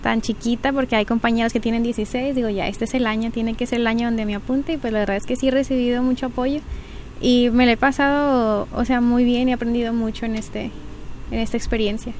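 A woman's voice: fairly high pitch, fairly even intonation, quick pace, a little mellow, a little hiss or hum. A woman, answering interview questions politely and a bit hesitantly, with frequent filler words. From Mexico. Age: 20s. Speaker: pageant candidate.

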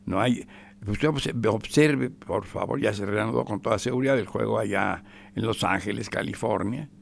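Gravelly Mexican radio commentator. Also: male voice, on the low side, moderate pace. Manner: emphatic, repetitive, drops to whispers.

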